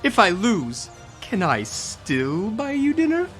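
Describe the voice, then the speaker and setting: A man plays a flirtatious fantasy swordsman, nonchalant and teasing. The pitch swings widely through cocky, casual lines, with faint music behind. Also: huge pitch swings, slow pace, fairly bright tone, full character voice. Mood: flirty, teasing. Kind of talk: fantasy tabletop radio drama acting.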